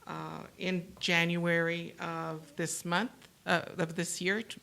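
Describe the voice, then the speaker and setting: A woman from New Mexico hosting a panel talk show, speaking in a halting way with many fillers and restarts. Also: on the low side, flat delivery, vocal fry, sharp tone. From New Mexico, USA. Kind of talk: panel discussion.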